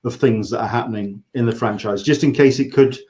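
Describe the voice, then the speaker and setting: English male interviewer, medium pitch, casual livestream style, halting delivery with searched-for phrasing. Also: darkish tone. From England. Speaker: interview host.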